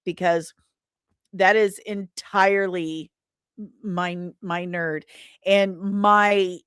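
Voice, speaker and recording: Animated woman chatting on a livestream. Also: on the low side, slow, smooth, no room sound, clean studio sound. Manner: animated, rambling, self-deprecating. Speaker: livestream host. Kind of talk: casual livestream chat.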